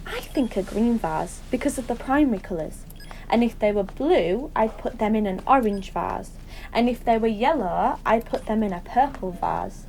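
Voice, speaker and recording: An actress playing an intense, excitable woman who rambles earnestly and seeks agreement in an audio drama. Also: on the high side, moderate pace, low-quality recording. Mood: musing, rambling. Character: intense, excitable woman musing in tangled associations. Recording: faint background noise.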